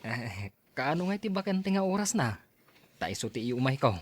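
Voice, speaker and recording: A man's voice: mid-range voice, big pitch swings, normal speed, no room sound, clean studio sound. A man with an Ilocano accent acting a meek, deferential young suitor in a radio drama. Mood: pleading. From Philippines.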